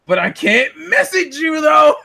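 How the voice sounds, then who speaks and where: A man's voice: very high voice, very animated, unhurried, vocal fry, pushed and bright. A man debating casually as a podcast co-host, complaining in an incredulous way and swearing freely.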